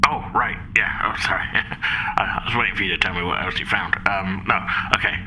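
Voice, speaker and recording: A man's voice: sits low, brisk, pushed and bright, whispered, full character voice, rough recording. A man voicing a nervous, reluctant starship engineer in a tabletop game, hesitant and stammering. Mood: awkward.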